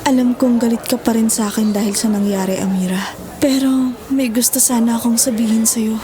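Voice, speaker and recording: A Filipino woman playing a gentle, apologetic mother in an audio drama, speaking hesitantly and with emotion. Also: fairly high pitch, brisk, crowd noise, close to an everyday voice. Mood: pleading. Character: gentle remorseful mother who gives up her baby. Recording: dramatic music bed behind the voice.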